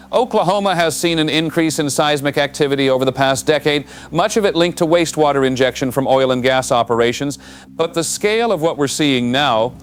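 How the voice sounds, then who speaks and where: An actor playing a studio news anchor who introduces the guest and asks composed, probing questions. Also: male voice, fairly high pitch, a little hiss or hum, lightly acted. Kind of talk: audio drama news broadcast.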